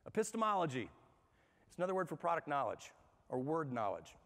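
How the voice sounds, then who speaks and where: A man giving a lively workshop talk to a live audience, asking them questions and talking in a conversational way. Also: fairly high pitch, brisk. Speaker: speaker at a management workshop. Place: large hall with an audience. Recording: long hall reverb.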